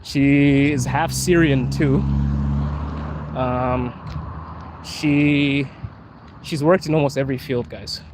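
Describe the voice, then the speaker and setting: A man from Zimbabwe chatting casually on a livestream, answering viewers' questions in a relaxed way. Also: medium pitch, slow, pauses often. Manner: relaxed, chatty, says bro and dude. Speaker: vlogger answering live chat. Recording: street noise with passing cars.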